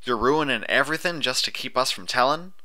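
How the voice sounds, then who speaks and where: A man's voice: mid-range voice, animated, a little fast, pushed and bright, voice pushed up. A man voicing a feisty, blunt female farm pony with folksy, defiant talk in an audiobook story. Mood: incredulous, urgent. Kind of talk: audiobook character voice.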